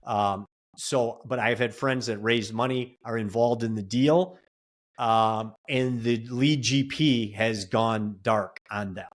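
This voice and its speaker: A man's voice: medium pitch, takes it slowly. Earnest man giving plain-spoken advice. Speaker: real estate investor and coach. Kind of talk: casual podcast interview.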